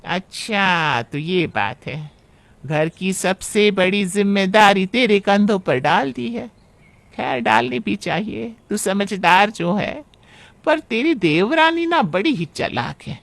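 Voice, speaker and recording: A man voicing a scheming, sharp-tongued middle-aged aunt in a cartoon family story, with theatrical, drawn-out, needling delivery. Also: high-pitched, lively intonation, creaky, no room sound, voice pushed up, full character voice. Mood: sly, needling.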